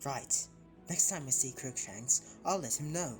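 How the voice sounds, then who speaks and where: A woman voicing an excitable, blunt teenage boy wizard in an audio drama, given to exclamations. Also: extremely low pitch, unhurried, faint music behind. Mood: grudging.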